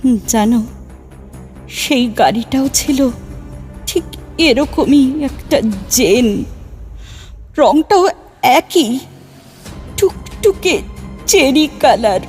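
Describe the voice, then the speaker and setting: A high-pitched female ghost played by a woman speaks slowly, with dramatic pauses. She is a betrayed, vengeful young lover in a horror audio drama, with music under her voice. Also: animated, a little mellow, full character voice. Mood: cold, eerie. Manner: slow, dramatic, aggrieved delivery with pauses. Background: background music.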